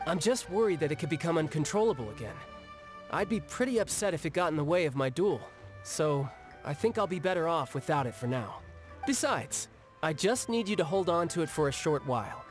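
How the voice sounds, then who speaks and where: Breezy young warrior played by a man, lighter-pitched. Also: expressive, a little fast, natural voice. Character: breezy, confident young male warrior who relishes duels. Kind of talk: video game voice acting. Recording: music behind the voice. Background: game music.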